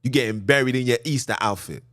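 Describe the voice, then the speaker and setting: A man hosting a podcast, preaching a monologue with animated, emphatic delivery, often jumping high in pitch and swinging between loud and hushed. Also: fast talker. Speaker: podcast host.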